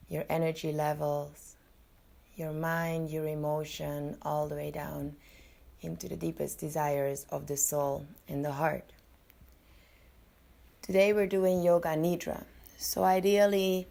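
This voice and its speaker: Very slow, low-pitched woman guiding meditation. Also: creaky, mellow. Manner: soothing, unhurried, with long pauses between short phrases. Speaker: podcast host and yoga nidra guide.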